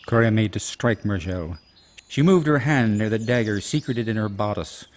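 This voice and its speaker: A man's voice: lowish voice, moderate pace. A man narrating a scripted fantasy radio play in a dramatic storytelling style. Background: music.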